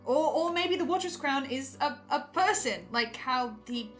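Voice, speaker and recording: Woman, high voice, stammering, defensive, in-character discussion, faint music behind. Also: animated, moderate pace, a bit bright. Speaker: podcast panelist in character as a researcher. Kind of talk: semi-improvised in-character discussion of a horror audio drama.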